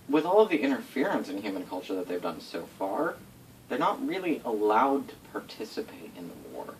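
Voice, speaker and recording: A man recounts fantasy game lore as a game master, his pitch moving around in lively swings. He talks casually and rambles, correcting himself as he goes. He sounds a little way from the mic, with faint noise behind him. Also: distant mic, low-quality recording. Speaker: game master recounting setting history to players. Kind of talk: informal storytelling of tabletop game lore.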